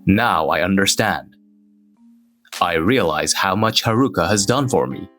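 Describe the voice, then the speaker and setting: A man's voice: low-pitched, animated, a little slow, fairly bright tone, faint music behind. A man playing a reserved, self-doubting young office worker who narrates his own romance in a scripted manga dub. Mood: pensive, regretful.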